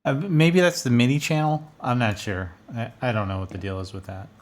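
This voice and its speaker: A middle-aged man with an average-pitched voice hosts a casual screen-share walkthrough. He rambles informally and thinks aloud as he goes. He is close on a studio condenser in a small home room, with music at times behind him. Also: steady tone, fairly quick. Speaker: music producer and video host. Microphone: studio condenser on boom arm, close.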